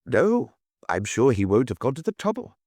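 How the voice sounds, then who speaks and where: A man's voice: mid-range voice, very animated, moderate pace, a little mellow, dry recording, clean studio sound. A man voicing a curt, discreet notary in an audiobook, clipped, formal and unforthcoming. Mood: curt, dismissive. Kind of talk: audiobook character dialogue.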